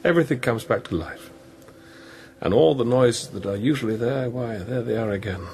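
An actor playing a weary, guilt-laden priest in a radio drama, reflective and hesitant in manner. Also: male voice, medium pitch, normal speed, a little mellow, soft background music, lightly acted. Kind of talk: naturalistic radio drama acting and narration.

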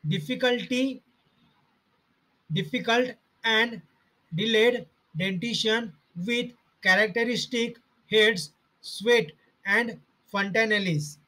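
A man slowly reading out homeopathy study notes in short, halting fragments. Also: sits high, pushed and bright, rough recording.

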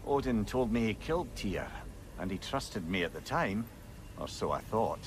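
An actor with a Scottish accent playing a wry, knowing older advisor in a video game cutscene. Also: male voice, lowish voice, sing-song, normal speed, background noise. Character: wry, learned older male advisor, a severed head.

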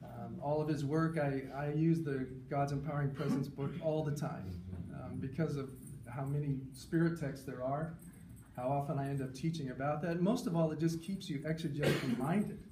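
A man's voice: on the high side, moderate pace. A man, a pastor, giving an informal, earnest and enthusiastic tribute speech to an audience. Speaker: pastor speaking at a tribute session. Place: conference session room, recorded from a distance. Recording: roomy, distant mic, loud background noise.

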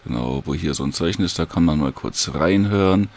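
A man casually and hesitantly talks viewers through a screen recording in an informal, unhurried tutorial. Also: low-pitched, expressive, a little mellow. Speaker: audiobook creator giving a download tutorial.

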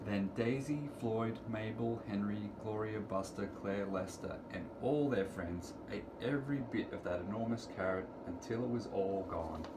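A middle-aged man reading a children's picture book aloud to preschoolers in deliberate, sing-song storytelling phrasing, recorded in a room at home. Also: on the low side. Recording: clearly noisy home recording, room ambience.

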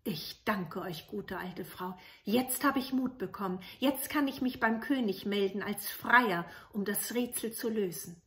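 A woman's voice: mid-range voice, unhurried, hardly any pauses, fairly bright tone, natural voice. A woman voicing a poor, brave, courteous soldier in a fairy tale, earnest and plucky. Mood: resolute.

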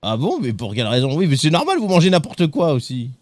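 A man playing a pompous, eccentric gentleman in a roleplay game, theatrical and mock-offended. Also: fairly high pitch, huge pitch swings, fast talker, dry recording. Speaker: streamer. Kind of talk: in-character roleplay.

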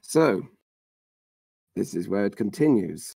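A man co-hosting a casual podcast who recaps a story, easygoing and with a slightly rough voice. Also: lowish voice, lively intonation, takes it slowly, mellow, no echo, clean studio sound. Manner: easygoing, agreeable, murmurs "mm".

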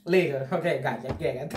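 Excitable Brazilian-accented man, roomy echo. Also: a little high. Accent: Brazilian Portuguese. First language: Portuguese. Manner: excitable, gushing, swears casually, gestures widely. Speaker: movie reaction host. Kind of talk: casual reaction commentary. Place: small bedroom, indoors. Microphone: wired earphone mic.

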